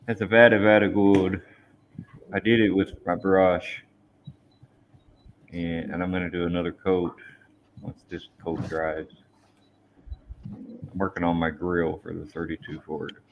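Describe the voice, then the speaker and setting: A man hosting a casual live chat about model building, relaxed and joking. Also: low-pitched, unhurried, long pauses, slight background noise. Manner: laid-back, joking. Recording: dull lo-fi livestream audio.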